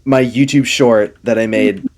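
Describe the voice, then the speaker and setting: A man's voice: medium pitch, fairly even intonation, measured pace, darkish tone. A young adult man chatting casually and enthusiastically in a rambling way, as a gaming host commentating with friends. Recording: background music under the voice.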